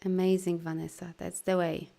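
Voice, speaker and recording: A woman's voice: on the low side, creaky. Encouraging Polish-accented woman coaching live. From Poland. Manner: animated and encouraging, with loose non-native grammar and frequent "you know"; soft, slow guidance during breathing. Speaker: life coach and energy healer. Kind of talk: live video talk with a guided exercise.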